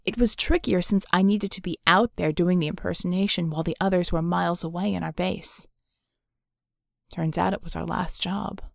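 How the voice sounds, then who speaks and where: Woman voicing a low, wry male ex-thief. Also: fairly even intonation, normal speed, creaky, no room sound, clean studio sound. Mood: grim, haunted. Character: Red Djinni, cynical male metahuman ex-thief, haunted, confessional.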